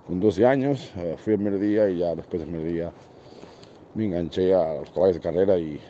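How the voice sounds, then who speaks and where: A man's voice: fairly quick, mellow. A man from Portugal with a Portuguese accent, talking casually as an interview guest and repeating phrases for emphasis. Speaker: horse racing guest. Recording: muffled low-fidelity link with faint noise.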